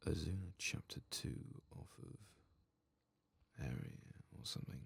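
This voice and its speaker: A man softly murmuring and half-whispering gameplay commentary in a husky, breathy voice, like an ASMR host. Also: a bass voice, expressive, slow pace.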